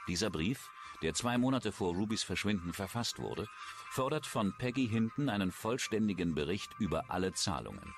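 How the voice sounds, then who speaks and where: Deep, lively male documentary narrator. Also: moderate pace, music in the background. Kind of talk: scripted true-crime documentary narration.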